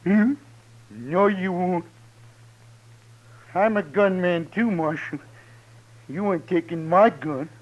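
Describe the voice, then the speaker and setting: A drunk, defiant Old West gunman with an American accent. The voice is high and very slow, slurring and dragging out the words, in a muffled vintage radio western recording. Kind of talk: 1950s radio western acting.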